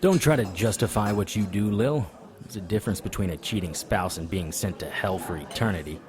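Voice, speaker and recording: An actor playing a weary, wry private investigator in a noir audio drama, terse and deadpan. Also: male voice, low-pitched, brisk, natural voice. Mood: wry, resigned.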